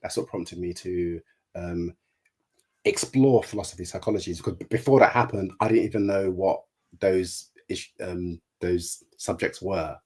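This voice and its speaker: A middle-aged therapist and life coach answering interview questions, speaking with a stutter that makes him repeat words and sounds. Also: male voice, deep voice, normal speed, darkish tone, breathy edge.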